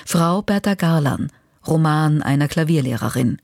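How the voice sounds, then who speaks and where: A woman's voice: sits low, animated, moderate pace, darkish tone, no room sound. A woman presenting a radio magazine, reading scripted narration into a close studio microphone.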